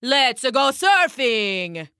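A woman voicing a polite, gloomy male vampire in a storybook reading, speaking slowly and formally. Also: on the high side, sing-song, bright, forceful tone, dry recording, clean studio sound, exaggerated voice. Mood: drawn-out.

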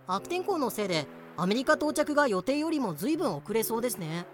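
A man playing a polite, long-suffering young navigator with dry, deadpan complaints in a fan-made voice drama. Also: very high-pitched, fairly quick. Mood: resigned, wry. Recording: music behind the voice.

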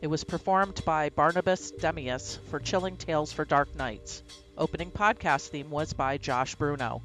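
A woman's voice: low-pitched, fairly bright tone. Woman reading podcast credits over music. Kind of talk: scripted credits read.

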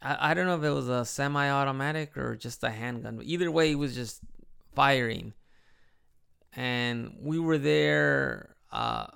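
A man's voice: mid-range voice, animated, a little slow, creaky, a bit bright, clear, resonant tone. A man in his thirties from Chicago with a Midwest American accent and a slight Mexican tinge, chatting casually and rambling in a personal vlog. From Chicago, United States. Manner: rambling, chatty, frequent restarts. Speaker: vlogger living in Japan.

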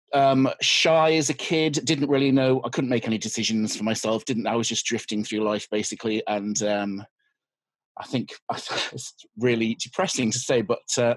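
A man's voice: medium pitch, dry recording, poor audio. A man, a physics teacher talking as a guest in a casual interview, hesitant and dry, with many ums. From Yorkshire, England. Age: 40s.

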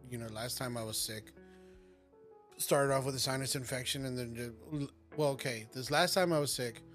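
A man's voice: medium pitch, moderate pace, a bit bright, faint music behind. Teasing, joking podcast host man. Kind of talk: casual chat.

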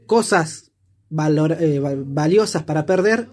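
A man's voice: a little high, a little slow, darkish tone. A man with an Argentine accent explaining his views in a casual discussion, reflective and asking rhetorical questions. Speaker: discussion participant.

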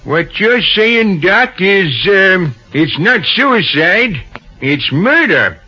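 An actor playing a folksy, plain-spoken American small-town sheriff in a 1940s radio drama. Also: male voice, high voice, very animated, bright, forceful tone, soft background music, exaggerated voice. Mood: grimly certain. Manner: folksy, drawn-out reasoning. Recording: dull, husky old radio recording.

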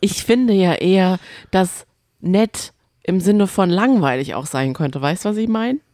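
A woman's voice: mid-range voice, a little slow, dark tone, dry recording, clean studio sound. A woman, chatting casually as a podcast co-host about reality TV.

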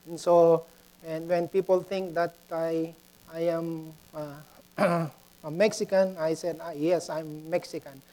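A woman with a Filipino accent preaching in a halting way, often repeating words, moving between prayer and anecdote. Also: low voice, flat delivery, warm tone, low-quality recording. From Philippines.

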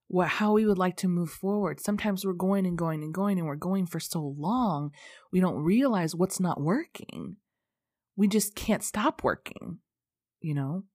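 A woman's voice: sits low, a little mellow, dry recording, clean studio sound. A woman hosting a reflective solo podcast, earnest and self-deprecating, who laughs often and sounds congested. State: stuffy, congested. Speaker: podcast host.

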